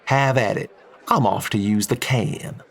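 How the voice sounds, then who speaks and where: A man's voice: lowish voice, unhurried, vocal fry. A man voicing a blunt, boastful middle-aged oil tycoon. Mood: loud, jovial. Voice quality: folksy drawl, tobacco-spitting. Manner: loud, plain-spoken, boastful.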